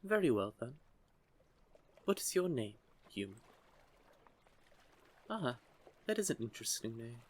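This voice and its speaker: A curious young merman played by a man speaks in an intimate audio roleplay. His pace is very unhurried and his pitch sits in the middle for a man. He is gently formal and teasing, with the sheltered air of a youth eager to learn about humans. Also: very animated, a little hiss or hum. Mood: curious, polite. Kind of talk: scripted audio roleplay, intimate one-sided conversation.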